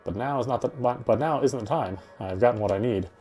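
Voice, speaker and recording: A man reading a horror visual novel aloud in an expressive, first-person storytelling manner. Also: mid-range voice, brisk, slightly rough. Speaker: let's-player reading a game aloud. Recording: game music behind the voice. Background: game music.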